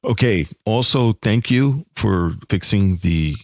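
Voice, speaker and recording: Low-voiced man hosting a casual show. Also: expressive, slow pace, dry recording, clean studio sound. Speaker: podcast host. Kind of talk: casual banter on a sci-fi fan podcast.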